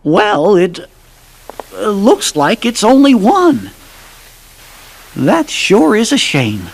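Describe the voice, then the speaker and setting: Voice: mid-range voice, sing-song, slow, gravelly, full character voice, low-quality recording. An actor voicing a small, courtly cartoon cricket in a video game, with a worried, folksy manner. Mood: dismayed.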